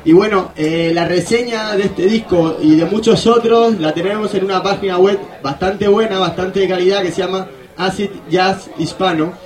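A man with a distinctly high voice hosts a radio show. He introduces music casually, using loose, colloquial phrasing as if chatting with friends. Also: normal speed, darkish tone. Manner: informal, colloquial.

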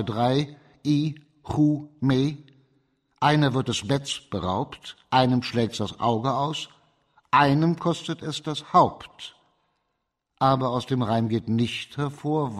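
A shrewd magistrate in a radio crime play. He speaks at a middling pitch in a measured, formal way. He sets out his reasoning step by step, composed and methodical. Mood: calm, probing. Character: composed, shrewd male magistrate laying out a case. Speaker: actor in a radio crime drama. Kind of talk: German radio play acting.

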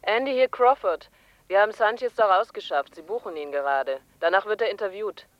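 A dull, band-limited call from a policewoman played by an actress. She reports in a brisk, matter-of-fact way at a middling pitch, in radio drama style. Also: slight background noise, lightly acted. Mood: businesslike. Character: businesslike female police colleague reporting in. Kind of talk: German radio drama acting.

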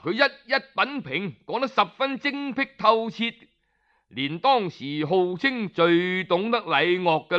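A man telling historical tales in Cantonese in an expressive, colloquial traditional storytelling style. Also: high voice. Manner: expressive, colloquial, with rhetorical interjections. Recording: dull lo-fi audio.

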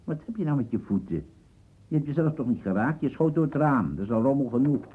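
A man's voice: medium pitch, expressive, fast talker, husky. A man acting a grumbling, practical man annoyed at mess and expense in a Dutch radio play. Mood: irritated. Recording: muffled phone-like old radio recording.

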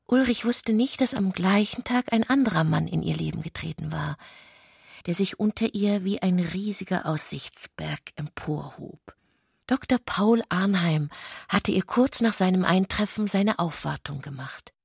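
A woman narrates literary fiction for radio in a husky, breathy voice with a creaky edge. The recording is very dry and intimate in its lack of room sound. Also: fairly low pitch, a little slow, no room sound.

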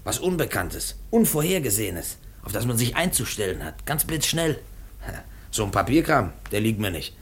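An actor playing a brash, restless young railway trainee in a 1950s radio play, boastful and breezy. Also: male voice, fairly low pitch, very animated, moderate pace, slight background noise. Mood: cocky, restless. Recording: 1950s radio recording, slightly distant.

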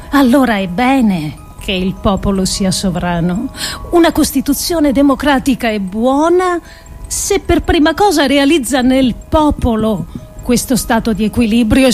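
A woman performing a scripted radio reading in a measured, deliberate, declamatory way with pauses. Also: a little high, darkish tone. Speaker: performer reading an adapted essay.

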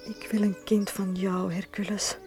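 A woman with a Flemish accent acting a gentle, adoring young wife of small stature, full of wonder, in a radio drama. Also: mid-range voice, little pitch movement, measured pace, over music, close to an everyday voice. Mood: loving, hopeful.

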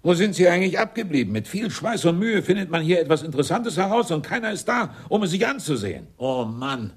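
An actor in a German radio play as a blunt, grumbling fire captain explaining things matter-of-factly. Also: male voice, a little high, big pitch swings, fast, husky. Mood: indignant.

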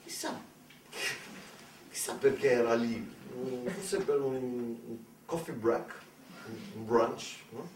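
A man's voice: mid-range voice, slow, pauses often, a little mellow, a little hiss or hum. An Italian man performing a satirical theatre monologue in an ironic, colloquial way, full of rhetorical questions and asides. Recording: echoey room, distant microphone.

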